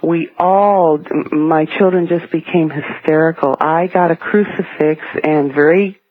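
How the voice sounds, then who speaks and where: A middle-aged woman calling a radio show, earnestly and haltingly recounting a personal ghost story. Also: low-pitched, steady tone, a little hiss or hum. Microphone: telephone. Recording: muffled narrowband telephone line on radio.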